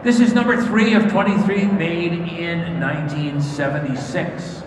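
A man's voice: a little high, fairly even intonation, measured pace, background noise. A man working as an auction announcer, reading out a list of specifications to the room. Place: large indoor auction hall, over PA. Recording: echoey auction hall with crowd.

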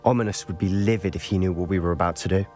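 An actor playing a secretive, reckless teenage wizard student in a fantasy game, earnest and intense. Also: male voice, deep voice, fairly even intonation, racing, music in the background, lightly acted. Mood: focused, conspiratorial. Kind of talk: scripted fantasy game acting.

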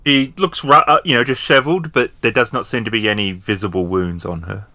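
Man, forceful bright tone, lowish pitch, dry sound, improvised tabletop game narration. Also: brisk, no echo. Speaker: tabletop role-play game participant narrating.

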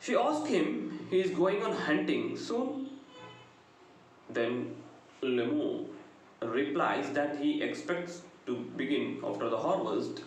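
Very echoey South Indian man lecturing slowly. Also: fairly high pitch, big pitch swings, soft background music. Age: middle-aged. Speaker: English lecturer. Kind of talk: lecture retelling a play. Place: small bright indoor room. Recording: very echoey room, faint background noise.

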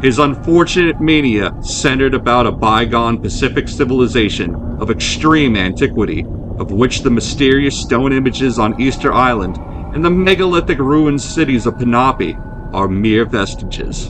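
Guy reading a horror story out loud, kinda formal and measured, mid pitch voice, pretty noisy ambient background. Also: animated. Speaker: host and narrator. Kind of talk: scripted narration of a horror short story.

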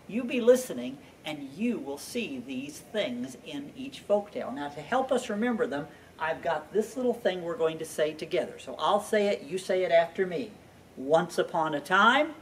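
An older American man in his sixties speaks to young children as an educational presenter. His delivery is lively, with big swings in pitch and deliberate emphasis. He is picked up by a distant microphone with a little room noise. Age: 60s. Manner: animated, deliberate teaching with repeated emphasized phrases. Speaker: children's library storyteller and presenter. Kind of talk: children's educational introduction, addressing young viewers. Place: indoors in a library.

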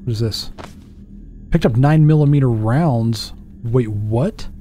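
A man's voice: mid-range voice, slow pace, dark tone, soft background music. A man in his thirties giving casual let's play commentary, thinking aloud and asking himself questions as he goes. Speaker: gaming YouTuber. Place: indoor home setup.

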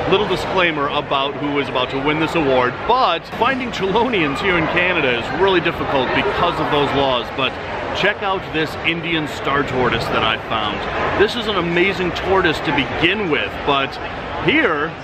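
Brief: eager male vlog host, somewhat high voice, loud hall noise behind. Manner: excited, exclamatory, talks straight to camera. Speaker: reptile channel host. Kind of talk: enthusiastic vlog presentation. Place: busy indoor expo hall.

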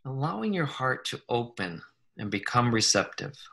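A man leading a guided meditation in a gentle, unhurried manner, leaving long pauses between short phrases. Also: mid-range voice, sing-song, gravelly. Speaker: guided meditation leader, psychologist. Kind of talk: slow guided meditation, soothing instruction.

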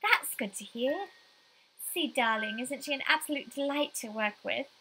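A woman's voice: high-pitched, expressive, moderate pace, very noisy. A woman voicing a poised, possessive wealthy wife in a visual novel, with drawling, condescending sweetness. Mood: sugary.

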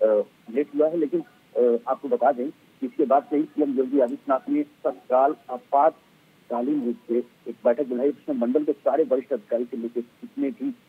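A middle-aged man reporting live as a field news reporter, halting and wordy, with a husky voice. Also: mid-range voice, animated, no echo. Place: outdoors outside a government office. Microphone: handheld microphone. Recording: muffled, narrow-band live outdoor link.

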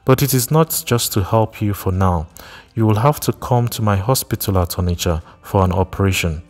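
A gentle, sympathetic village doctor in a storybook reading, as a male narrator voices him, with a low, steady, reassuring tone. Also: moderate pace, long reverb tail, close to an everyday voice.

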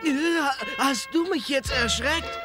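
An aloof young man from an anime drama. He is brusque and irritated, with clear music behind his voice. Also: very high voice, slow pace. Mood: puzzled, teasing. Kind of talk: German-dubbed anime radio play.

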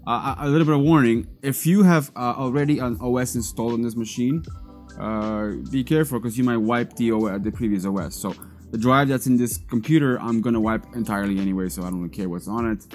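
A man in his thirties whose first language is Polish talks through a tutorial in a relaxed, rambling way, often restarting his sentences. His voice sits at a middle pitch and is picked up close on a clip-on mic in an indoor workshop. Faint music plays behind him. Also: fast. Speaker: data recovery technician. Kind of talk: casual screen-recorded tutorial. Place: cluttered workshop or lab, indoors. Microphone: clip-on lapel mic.

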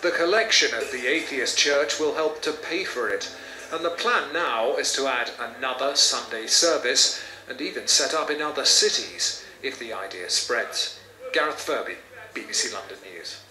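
Male news reporter, scripted and animated, heard as roomy playback over music. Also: medium pitch, moderate pace. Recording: echoey tablet playback, music behind.

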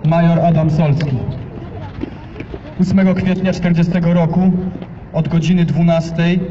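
Man, terse diary reader, grave, distant loudspeaker, noisy street. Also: a little high. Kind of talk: solemn reading over loudspeakers. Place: outdoor street march in a city. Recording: distant PA in open air, reverberant, dull, noisy.

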